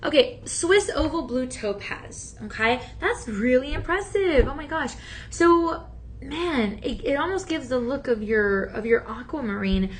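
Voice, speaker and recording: A woman hosting a shopping channel, chatty and encouraging, with a slightly raspy voice that is still healing from talking so much. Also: high-pitched, lively intonation, measured pace, a little hiss or hum. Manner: chatty, upbeat and encouraging, many fillers. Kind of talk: live TV sales pitch. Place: TV studio.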